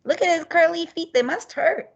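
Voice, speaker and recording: Woman playing an eager young pupil, slightly creaky voice. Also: very high voice, normal speed. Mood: curious, eager. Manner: simple childlike guesses and questions. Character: young pupil following a read-aloud, eager and curious. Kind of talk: reading lesson role-play. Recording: dull lo-fi recording.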